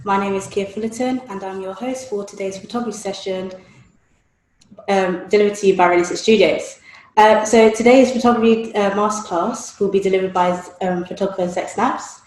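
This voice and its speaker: A woman's voice: mid-range voice, fairly even intonation, fairly quick, a little mellow. A young woman hosting an online session and putting questions to a guest. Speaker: host. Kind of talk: event hosting and interviewing. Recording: roomy, echoey room sound.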